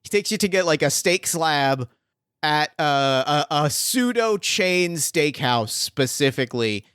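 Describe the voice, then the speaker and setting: Smooth-voiced man running a tabletop game. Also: on the high side, animated, unhurried, fairly bright tone, dry recording, clean studio sound. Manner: thinking aloud with many fillers and restarts. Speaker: game master. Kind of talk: improvising a tabletop game scene.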